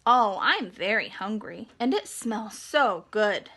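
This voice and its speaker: A woman voicing a curious, picky young girl in a storybook, with drawn-out, emphatic exclamations. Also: mid-range voice, lively intonation, a bit bright, husky, heavily acted. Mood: hungry. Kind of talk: storybook character voice.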